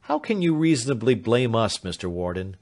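A man voicing a flustered, fussy old lawyer who blusters loudly. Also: medium pitch, big pitch swings, a little fast. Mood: blustering.

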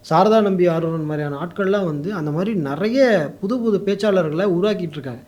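A man's voice: high voice, brisk, darkish tone. A man with a Tamil Nadu accent giving opinionated interview answers as a guest commentator, mixing in English words. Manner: code-mixes English words into speech.

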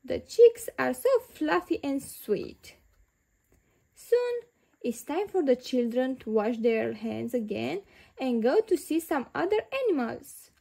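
High-voiced woman reading children's stories, slightly creaky. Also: very animated, slow, mellow. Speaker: storyteller reading picture books aloud. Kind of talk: expressive children's read-aloud narration.

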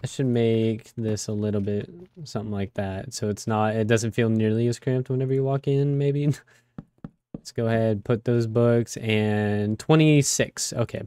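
A young man with a raspy voice from a cold, rambling casually with many fillers as he plays a game. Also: on the low side, fairly even intonation, unhurried, mellow, no room sound. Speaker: let's play host. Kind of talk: casual gameplay commentary.

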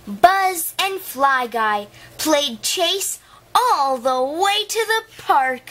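A woman reading a children's picture book aloud in an animated storytelling style. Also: sits high, extremely slow.

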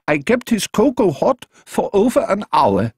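A man voicing a gentle, kindly older shopkeeper who sounds worried. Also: high voice, very animated, unhurried, husky, no room sound, studio quality, pitched above the performer's own voice, full character voice.

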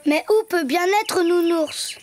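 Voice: very high voice, unhurried, over music. A performer voicing a cheerful, imaginative little boy donkey in a preschool cartoon, childlike and earnest. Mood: puzzled, searching.

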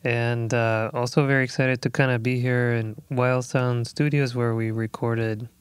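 Smooth-voiced man, reflective guest. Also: mid-range voice, level tone, unhurried, dry recording. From Puerto Rico. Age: 30s. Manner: thoughtful, reflective, many fillers. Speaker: guest musician. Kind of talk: casual interview talk. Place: recording studio.

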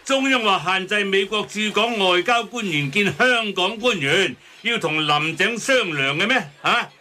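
Grumbling man, Hong Kong Cantonese, very sharp forceful tone, slightly high pitch, blustery radio drama. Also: lively intonation, normal speed, full character voice. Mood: indignant. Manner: blustery, exclamatory.

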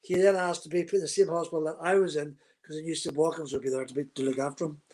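A husky-voiced man in his fifties tells his story in close detail, gesturing as he goes. His voice sits a little high for a man. He is speaking into a webcam on a video call from a room at home. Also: steady tone, quick pace, darkish tone. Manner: vivid, detailed storyteller, talks with his hands. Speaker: guest, former racing driver.

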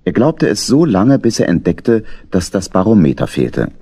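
A man narrating a children's story from a script, with husky, dramatic delivery. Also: on the low side, very animated, normal speed, warm tone, no room sound.

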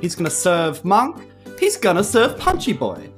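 Lively, animated New man. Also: high-pitched, normal speed, soft background music. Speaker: tabletop game player. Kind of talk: casual game banter.

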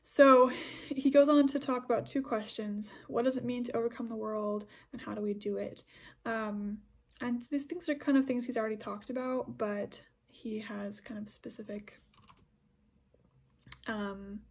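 Breathy woman in her twenties, slightly high pitch, chatty podcast host who rambles and restarts. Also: fairly even intonation, unhurried. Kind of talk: casual scripture discussion podcast.

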